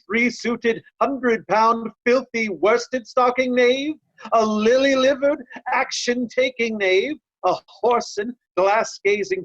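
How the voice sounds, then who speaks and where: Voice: mid-range voice, lo-fi sound, natural voice. An actor playing a blunt, hot-tempered old loyalist in Shakespearean reader's theatre, scornful and emphatic, piling up insults.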